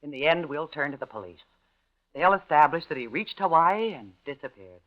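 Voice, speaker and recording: Ruthless female partner in crime, American, commanding, animated delivery, 1950s radio sound. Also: sits low, normal speed. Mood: firm, reassuring. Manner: curt, commanding, composed. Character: cold, domineering, scheming woman partner in crime. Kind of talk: 1950s radio drama acting. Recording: dull, lo-fi 1950s radio recording.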